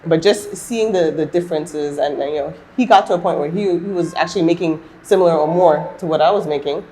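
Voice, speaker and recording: A woman's voice: sits low, brisk, warm tone, low-quality recording. A woman from Canada, answering interview questions in a conversational way. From born in Montreal, Canada, with years spent in Trinidad. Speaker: guest, entrepreneur and former aerospace engineer. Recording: light background noise.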